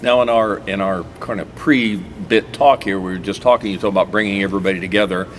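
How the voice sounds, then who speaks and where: A man's voice: deep voice, steady tone, fast talker, slight background noise. A middle-aged man hosting a local TV talk show in an easygoing way, with lots of fillers and restarts. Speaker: host. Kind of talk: casual local TV chat.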